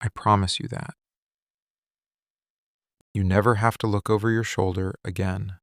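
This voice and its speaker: A man playing a weary, lovesick, possessive mafia boss in a scripted boyfriend ASMR roleplay, speaking intimately and deliberately in short phrases. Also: lowish voice, level tone, dry recording, studio quality. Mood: tender, reassuring.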